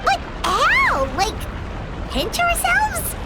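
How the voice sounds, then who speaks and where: A woman voicing a tiny, excitable, childlike floating companion in an anime-style video game, squeaky and naive. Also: extremely slow, heavy background noise, exaggerated voice. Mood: anxious, confused. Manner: exclamatory, naive. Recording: very loud music behind.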